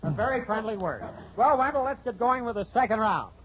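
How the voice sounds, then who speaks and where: Male comic quiz co-host, 1940s radio, high pitch, wisecracker, dull lo-fi sound. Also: lively intonation, a little fast. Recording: muffled old radio broadcast, faint noise.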